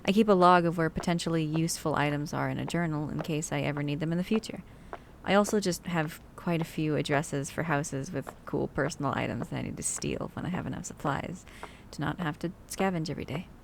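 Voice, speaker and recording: A low-pitched female survivor of the zombie apocalypse, acted by a woman in an ASMR roleplay. She is cautious and caring, rambling conversationally to her companion with hesitant asides. A faint rumble sits behind the voice. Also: brisk, gravelly. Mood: chatty, musing. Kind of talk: ASMR roleplay audio drama, addressing the listener.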